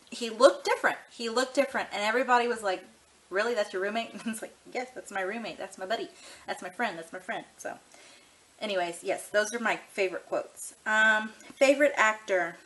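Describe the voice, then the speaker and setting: A woman's voice: fairly high pitch, a little fast, pushed and bright, low-quality recording. A woman in her thirties chatting casually to camera for a tag video, rambling and frank with lots of ums and you-knows. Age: 30s. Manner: easygoing and frank. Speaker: vlogger doing a tag video.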